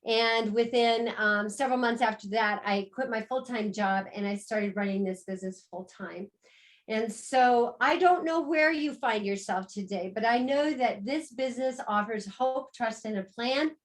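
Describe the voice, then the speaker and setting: A middle-aged American woman, the co-host, tells her own story on a video call in a warm, upbeat sales style. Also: on the high side, moderate pace. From United States. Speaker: co-host and business presenter. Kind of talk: business pitch on a video call.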